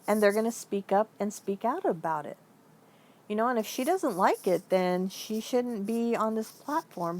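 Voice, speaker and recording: Woman venting in casual, emphatic talk. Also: mid-range voice. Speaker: online video commentator. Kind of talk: casual opinion vlog, venting.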